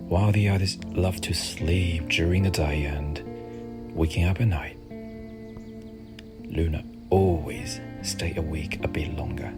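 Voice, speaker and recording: A man softly narrating a soothing ASMR bedtime story in a gentle, unhurried, hushed manner over music. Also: deep voice. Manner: gentle, hushed, unhurried, at times near a whisper. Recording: music behind the voice.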